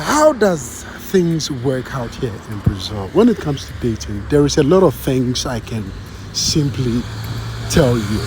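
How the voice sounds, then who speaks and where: A man's voice: medium pitch, background noise. A man from Ghana talking casually to his channel's viewers, full of fillers, often checking with "you understand?". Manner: animated, repeats "you understand", many fillers. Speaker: vlogger. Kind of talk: casual talk to camera.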